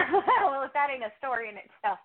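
A woman's voice: sits high, very animated, a little fast. Woman on a tinny phone line. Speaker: podcast host, interview guest. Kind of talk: casual storytelling interview answers.

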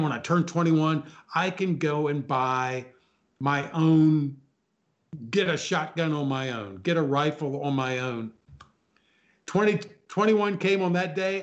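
Guy in his 60s, slightly high voice, chatting as a guest, repeats phrases, reminiscing. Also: muffled recording. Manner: chuckles, repeats phrases for emphasis. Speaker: talk show guest. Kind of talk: casual chat, reminiscing.

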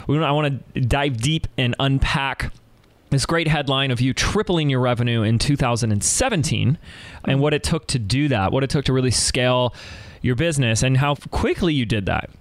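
A male podcast host speaks at a mid-range pitch into a dry, close recording. He talks with eager enthusiasm and heaps praise on his guest. He keeps breaking off and restarting his sentences as he asks his questions. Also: normal speed, dry recording. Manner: enthusiastic, effusive, with frequent restarts. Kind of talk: conversational podcast interview.

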